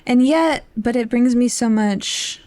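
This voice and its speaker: A woman's voice: a little high, slow pace, warm tone, no room sound. A young adult woman co-hosting a podcast, discussing books casually in an informal, candid and self-deprecating way. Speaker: podcast co-host.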